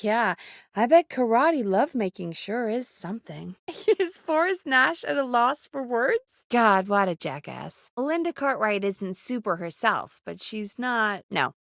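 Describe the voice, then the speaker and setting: An actress playing an earnest, wry woman who produces a radio show in a video game, speaking in an expressive, conversational way. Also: a little high, measured pace, vocal fry, no room sound, clean studio sound, heavily acted. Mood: wry, teasing.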